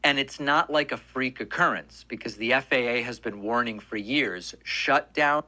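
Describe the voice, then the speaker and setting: A man's voice: mid-range voice, moderate pace, bright, forceful tone. A man giving conversational political news commentary, emphatically repeating words for stress.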